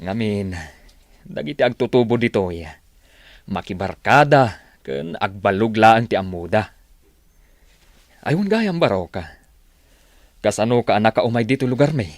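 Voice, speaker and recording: A man's voice: fairly low pitch, expressive, unhurried, pauses often, dry recording, clean studio sound. A man playing a friendly, fatherly older factory worker in a radio drama, with an Ilocano accent. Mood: fatherly, approving.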